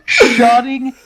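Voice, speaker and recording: High-voiced young man, swooping and slow. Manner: giggly, interjecting and echoing phrases. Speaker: friend playing along with the storyteller. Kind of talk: casual joking chat.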